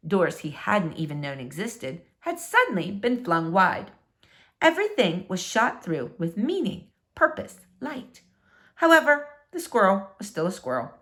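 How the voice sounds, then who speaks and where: A woman narrates a children's storybook with hugely varied pitch that rises and falls across each phrase. Her phrasing is dramatic and deliberate, giving key words clear emphasis. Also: a bit bright. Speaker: storybook reader. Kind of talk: expressive children's book read-aloud.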